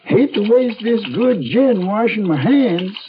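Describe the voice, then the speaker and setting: An actor playing a folksy, drawling, cheerful rough man doctoring a wound in a 1970s radio drama. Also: high-pitched, expressive, a little slow, faint music behind. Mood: folksy, unhurried. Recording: dull lo-fi 1970s radio recording.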